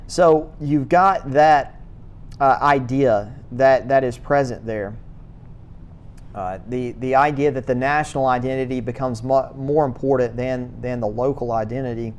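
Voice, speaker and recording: A man lecturing on church history in a classroom, his pitch sitting in the middle range. He talks haltingly, repeating words and stopping for uh and um as he thinks. A faint low rumble hums behind him. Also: steady tone, lo-fi sound.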